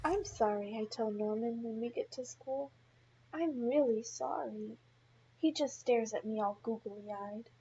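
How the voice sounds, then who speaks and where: A woman teacher reading a storybook aloud to young children in an expressive way, speaking to them directly. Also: on the high side, a little slow, muffled recording, a little hiss or hum.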